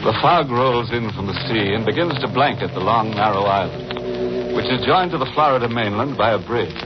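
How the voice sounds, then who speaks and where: A man's voice: on the low side, expressive, lightly acted. Man playing a ghoulish, swooping radio horror host. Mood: ominous narration. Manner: theatrical, drawn-out, darkly jocular delivery. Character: ghoulish, punning male host of a horror show. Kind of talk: 1940s radio horror hosting. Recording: muffled, noisy old radio recording.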